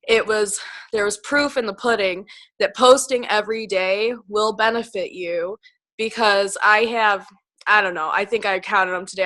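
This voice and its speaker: Woman, scratchy with a cold, bright voice, chatty team member on a video call. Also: mid-range voice. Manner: chatty, rambling with fillers. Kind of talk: casual call chat.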